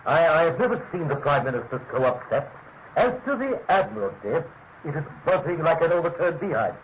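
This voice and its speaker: An actor playing a pompous, fussy middle-aged government official with a British accent, halting and emphatic, in classic radio mystery style. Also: male voice, a little high, sing-song. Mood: annoyed. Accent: British English. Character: pompous, fussy male senior government official, gravely insistent. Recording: tinny, narrow-band radio recording with hiss.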